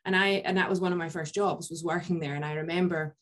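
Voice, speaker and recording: Low-voiced woman, 30s, podcast co-host, anecdotal, lots of ums, webcam audio. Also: fairly even intonation, fast, a bit bright. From Scotland. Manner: rambling anecdotes with many fillers. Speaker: podcast co-host from a family bakery. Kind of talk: casual video call chat. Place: indoor office with posters. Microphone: video call webcam.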